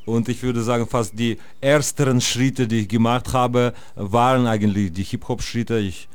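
A man's voice: medium pitch, steady tone, moderate pace, smooth, dry recording. Man, rambling interview guest. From Russia. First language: Russian. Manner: rambling, many fillers and restarts. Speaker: guest musician. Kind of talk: casual interview.

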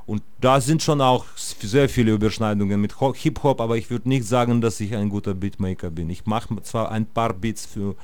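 A man's voice: on the low side, brisk, few pauses, a little mellow, clear, resonant tone. A man, a music producer and DJ, talking casually as an interview guest, with many fillers and restarts. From Russia. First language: Russian. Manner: hesitant, many fillers and restarts, laughs easily.

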